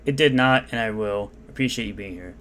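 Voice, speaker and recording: A man's voice: fairly low pitch, fairly quick, fairly bright tone, a little hiss or hum. A man reading out and mocking comments in an unscripted, sarcastic rant. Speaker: commentary YouTuber.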